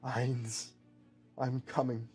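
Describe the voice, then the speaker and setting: A man acts out a villainous war captain in a tabletop drama. He speaks in a solemn, deliberate way, coldly regretful and menacing, with music playing underneath. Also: mid-range voice, flat delivery, darkish tone, slightly rough, exaggerated voice. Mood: solemn resolve.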